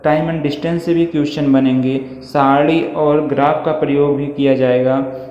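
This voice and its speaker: High-pitched man, echoey room. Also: darkish tone. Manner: reassuring, repetitive check-in phrases. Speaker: exam coaching YouTuber. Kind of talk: informal explanatory lesson, reading through a syllabus. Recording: echoey reverberant room, muffled, faint noise.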